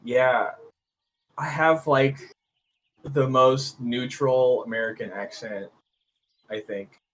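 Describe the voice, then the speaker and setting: Slow-talking man with a Californian accent. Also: medium pitch, fairly even intonation, fairly bright tone, a little hiss or hum, low-quality recording. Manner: easygoing, laughs often. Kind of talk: casual chat on a livestream.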